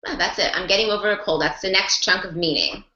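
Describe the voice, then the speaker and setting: A woman leads an online class for interpreters, encouraging them and walking through example after example. Her voice is bright and forward. It comes through a dull, echoey video call line. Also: mid-range voice, fast. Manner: encouraging teacher, talks through examples, rephrasing and repeating. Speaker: ASL interpreting mentor. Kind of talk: online group class discussion.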